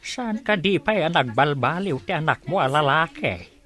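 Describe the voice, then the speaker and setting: A man's voice: on the high side, lively intonation, normal speed, fairly bright tone. A man playing a worried old father in a radio drama, sighing and lamenting about his wayward son. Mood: lamenting, pleading.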